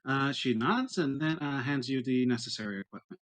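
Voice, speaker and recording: A man's voice: medium pitch, expressive, moderate pace, fairly bright tone. A man talking casually as a player in a tabletop roleplaying game.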